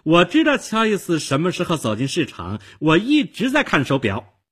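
Give a self-assured young woman from a crime story, played by a male narrator. The pitch is lifted well up and the delivery is proud, emphatic and insistent, with a husky edge. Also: wildly swooping pitch, moderate pace, fairly bright tone, heavily acted. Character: pretty young woman, confident false witness, proudly insistent.

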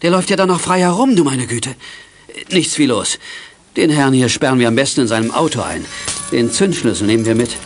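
A man's voice: mid-range voice, lively intonation, a little fast, close to an everyday voice. A male actor plays a fatherly, middle-aged leader in a children's radio play. His voice is mid-range, giving firm orders and reassurance. A faint noise runs under the recording. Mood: decisive. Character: fatherly, commanding older man leading young detectives.

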